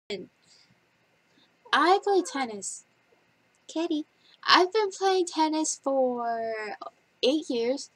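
A woman's voice: sits high, expressive, drawn-out words, long pauses, a bit bright, no echo, poor audio. Chatty young woman rambling into a webcam. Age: 20s. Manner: chatty, giggly, rambling with many fillers. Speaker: vlogger. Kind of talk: casual facts-about-me vlog. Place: bedroom, indoors. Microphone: webcam at desk distance.

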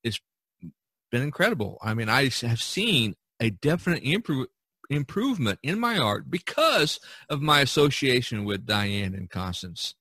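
Bright-voiced man hosting a casual podcast. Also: mid-range voice, big pitch swings, normal speed, slightly rough, dry recording. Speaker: podcast host. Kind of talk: casual chat about topics from a list.

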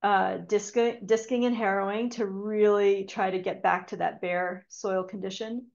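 A woman presents a conservation talk over a video call, pausing often with ums and correcting herself mid-sentence. Her voice has a gravelly fry at phrase ends, and the call audio is dull and lo-fi. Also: medium pitch, steady tone, darkish tone. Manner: hesitant, frequent ums and restarts. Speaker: conservation speaker giving a talk. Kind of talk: webinar lecture with slides.

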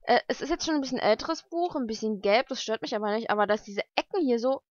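Casting: young woman, slightly high voice, informal vlogger thinking aloud, dry lo-fi home recording. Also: lively intonation, fast, no echo. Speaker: vlogger unboxing mail-order books. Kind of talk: casual unboxing commentary. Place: lived-in room at home, indoors.

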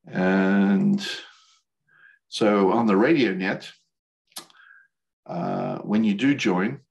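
An older man with an American accent giving an informal slide presentation in a hesitant, halting way. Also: lowish voice, vocal fry, darkish tone, dull audio. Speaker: volunteer instructor, radio operator. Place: video call.

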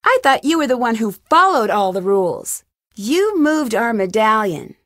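Game heroine: curious young amateur sleuth, conversational and questioning, on the higher side, very dry recording. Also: female voice, sing-song, normal speed, dry recording. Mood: pointed. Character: inquisitive, friendly young female amateur sleuth. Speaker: game protagonist. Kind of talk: video game dialogue lines.

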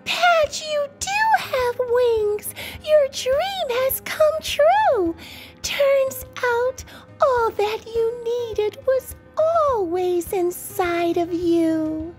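A woman voicing an excited, encouraging little girl in a storybook, exclaiming and stretching her words. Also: extremely high pitch, soft background music, pitched above the performer's own voice, heavily acted. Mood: joyful amazement. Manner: bright-eyed, exclaiming, drawn-out words.

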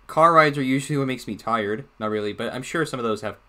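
A man's voice: medium pitch, fast talker, sharp tone. A young man hosting a casual reaction video from a small room, rambling and slipping in jokey asides. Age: young adult.

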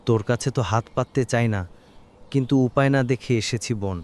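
A man's voice: medium pitch, fast talker, natural voice. Weary, pleading middle-aged father, acted by a man. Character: troubled, humble middle-aged father begging his sister for help. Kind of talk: cartoon drama dialogue.

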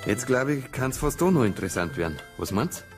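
An actor playing an earnest, dry, matter-of-fact detective with a Bavarian accent in a naturalistic radio drama. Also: male voice, medium pitch, sing-song, fairly quick, over music, close to an everyday voice. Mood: businesslike. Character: earnest male detective, patient but easily irritated.

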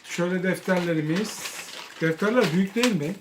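A woman's voice: on the low side, brisk, noisy background. A young adult woman chatting casually with a child, teasing and quizzing as she shows things on a home vlog. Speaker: family vlogger showing school supplies.

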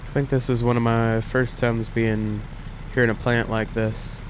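A man's voice: medium pitch, little pitch movement, a little slow. A young man with a congested voice, casually commenting as he plays a trucking game, in an easygoing, rambling way. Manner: easygoing, rambling, thinks aloud. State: congested from a cold. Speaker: gaming channel host. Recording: loud truck engine game audio behind. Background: loud engine and road noise from the game.

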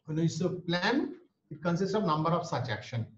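An Indian man with an Indian English accent teaches an online class, his high voice jumping up and down as he states each idea and then says it again. He talks into a webcam mic in a small room, and the sound is muffled and roomy. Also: very animated, brisk. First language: Bengali. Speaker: lecturer. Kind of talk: online lecture. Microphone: webcam or laptop mic on a video call. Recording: roomy, dull video-call audio.

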